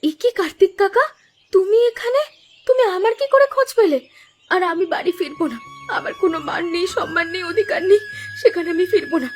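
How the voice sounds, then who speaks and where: A woman's voice: very high-pitched, animated, a little fast, faint music behind. A woman voicing a proud, stubborn but polite young village girl in a cartoon, plaintive and insistent. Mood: hurt, defiant. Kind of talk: cartoon voice acting.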